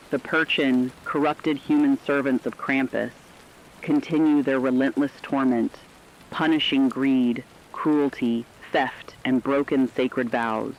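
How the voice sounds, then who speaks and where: Casting: man playing serious radio reporter, medium pitch, deliberate doom-laden horror news read, slightly muffled lo-fi sound. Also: slight background noise, close to an everyday voice. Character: stern radio reporter warning listeners of doom.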